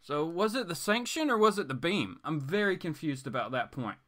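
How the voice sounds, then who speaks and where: A man's voice: fairly high pitch, sing-song, normal speed, fairly bright tone. A man hosting a casual comics livestream, rambling informally and turning theatrical when he reads aloud. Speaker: host of a comics reading livestream. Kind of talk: casual livestream chat with dramatic read-aloud bits.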